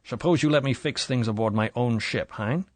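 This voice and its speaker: A man's voice: mid-range voice, moderate pace, no echo, close to an everyday voice. A man voicing a bullying rich American yacht owner who talks in a mocking drawl, with an American accent.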